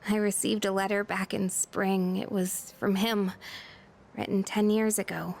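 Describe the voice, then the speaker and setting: A woman's voice: medium pitch, little pitch movement, unhurried, gravelly, a little mellow, slight background noise. An American woman voicing an emotional, bitter, grieving teenage schoolgirl in a video game. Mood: wistful, confiding. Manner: emotional, vulnerable, confiding. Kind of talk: English video game voice acting.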